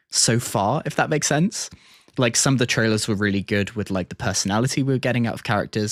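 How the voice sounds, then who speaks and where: A man giving casual livestream reaction commentary, enthusiastic and thinking out loud as he goes. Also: on the low side, no echo, clean studio sound. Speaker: streamer. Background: music from game trailers.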